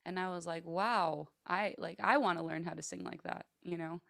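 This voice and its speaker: A woman's voice: lowish voice, steady tone, moderate pace, vocal fry, fairly bright tone, dry recording. Chatty woman singer, dry close sound. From Greece and the United States. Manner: chatty, many fillers, laughs easily. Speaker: metal singer, guest. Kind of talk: casual interview chat.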